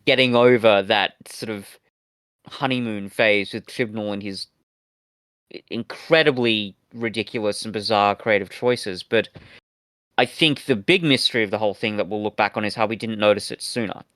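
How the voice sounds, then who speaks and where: A man with a fairly low voice, recorded very dry. He co-hosts a podcast in casual, opinionated chat, with halting phrasing as he works out his point. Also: a bit bright, dry recording. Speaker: podcast co-host reviewing audio dramas.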